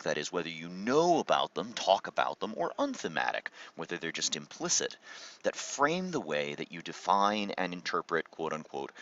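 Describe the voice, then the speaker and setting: A man's voice: deep voice, bright, forceful tone, dull audio. A man giving an explanatory lecture to students, posing rhetorical questions and stressing key words. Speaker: university lecturer.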